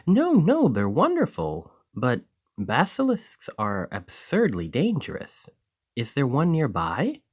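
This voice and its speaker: A man playing a friendly, excitable village alchemist in an ASMR roleplay, chatting eagerly to the listener in a slightly flustered, rambling way. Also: mid-range voice, takes it slowly, breathy edge, exaggerated voice. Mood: excited, concerned.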